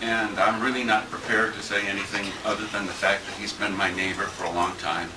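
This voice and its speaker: A man's voice: fairly low pitch, level tone, brisk. An older man giving an unprepared, plain-spoken and earnest personal tribute to a friend. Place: restaurant banquet room. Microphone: handheld compact camera at a distance. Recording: roomy, noisy handheld camera from far off.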